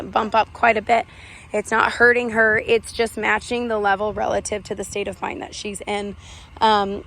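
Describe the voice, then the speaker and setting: A young woman dog trainer, hoarse from losing her voice, explains a lesson in a chatty way and gives firm short commands to a dog, recorded on a phone in an outdoor park. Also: on the high side, a little hiss or hum. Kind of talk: casual on-the-spot training explanation. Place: outdoor park with other dogs. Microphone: handheld phone camera.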